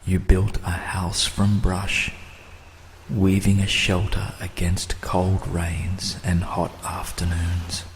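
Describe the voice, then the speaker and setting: A man narrating a scripted guided meditation in a soothing, measured manner, with long pauses and soft, breathy phrase endings. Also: sits low, monotone, slight background noise.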